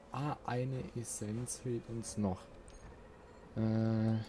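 Let's play host: man, average-pitched voice, unhurried casual commentary, noisy background. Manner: informal, musing to himself and viewers. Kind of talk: casual gameplay commentary, thinking aloud.

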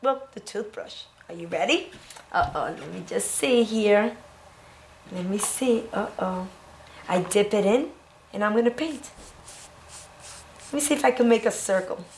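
A middle-aged woman teaching preschoolers in a classroom, talking young children through each step in an encouraging, animated way. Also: mid-range voice, measured pace, pauses often, slight background noise. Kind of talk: children's educational demonstration. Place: indoor classroom.

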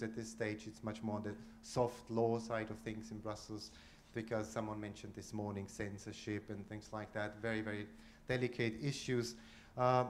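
A man's voice: on the low side, a little hiss or hum. A man in his forties from Austria, speaking as a panelist in a conference room, restarting phrases often. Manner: speaks with frequent restarts and fillers, gestures while talking. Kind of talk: roundtable remarks. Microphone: handheld microphone.

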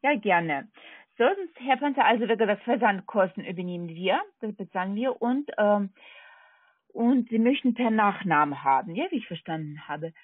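Woman, foreign accent, husky voice, medium pitch, polite halting telemarketer, dry narrowband phone sound. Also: animated, no room sound. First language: not German. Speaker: telemarketing call center agent. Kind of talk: scripted phone sales pitch. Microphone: telephone. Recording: tinny, narrowband phone line.

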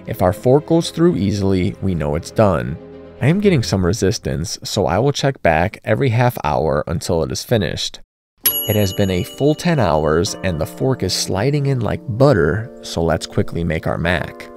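A man in his thirties giving a steady, scripted voice-over that walks through a cooking recipe step by step. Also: low-pitched, normal speed, faint music behind. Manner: steady instructional narration. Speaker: cooking channel host.

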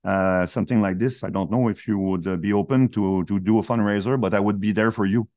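A man's voice: on the low side, steady tone, fairly quick, a little mellow, no echo, clean studio sound, natural voice. A man with a French-Canadian accent playing an earnest, fawning champion fighter, stammering and deferential on a prank call. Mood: eager, deferential. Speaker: radio prankster. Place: small radio studio.

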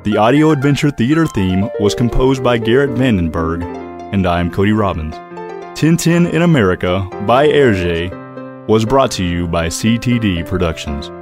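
A man's voice: on the low side, lively intonation, normal speed, over music. A man reading scripted credits as the announcer of an audio drama.

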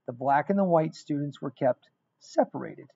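A middle-aged man reading a rhyming picture book aloud in an expressive, emphatic storytelling manner. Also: a little high, moderate pace, gravelly, slightly rough. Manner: emphatic, dramatic storytelling with repeated phrases. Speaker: guest reader of a children's book. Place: small indoor room. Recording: dull, lo-fi webcam sound.

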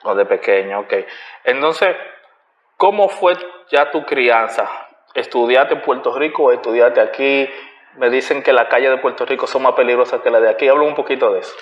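A young adult man with a Dominican Spanish accent hosts a relaxed interview in friendly street slang. His voice sits at a middling pitch. It sounds roomy and dull, picked up by a camera across a bright living room. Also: moderate pace. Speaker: host. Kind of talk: casual interview.

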